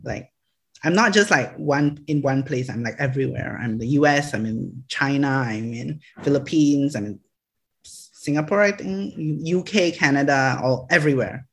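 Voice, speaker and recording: A man's voice: mid-range voice, normal speed, vocal fry. A young man from Malaysia, chatting in an interview, rambling with lots of "like" fillers and frequent laughs. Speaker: social media creator, guest. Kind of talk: casual interview chat.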